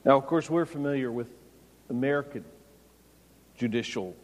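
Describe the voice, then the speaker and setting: A man's voice: medium pitch, normal speed, in a large hall. A man preaching a sermon, explaining scripture and raising his voice when quoting. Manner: expository preaching, raises voice for quoted lines. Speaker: pastor.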